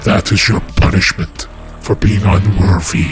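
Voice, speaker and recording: An actor playing an ancient male demon lord who steals bodies, slow, menacing and contemptuous, with a whispery edge. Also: low-pitched, steady tone. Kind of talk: audio drama acting. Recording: loud music under the voice. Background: loud music.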